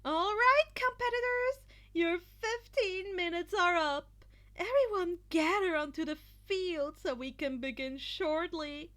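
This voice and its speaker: A woman's voice: very high voice, slow pace, pitched above the performer's own voice, full character voice. A woman voicing a brash, enthusiastic female sports festival announcer in an amateur story reading. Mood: cheering. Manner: loud, enthusiastic, commanding. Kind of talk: amateur fan fiction audio reading.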